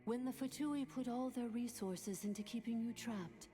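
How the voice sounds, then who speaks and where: A woman's voice: fairly high pitch, normal speed, warm tone, soft background music, close to an everyday voice. A woman voicing an imperious, aloof ruler of machines in a video game, cool, formal and commanding. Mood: aloof, assured.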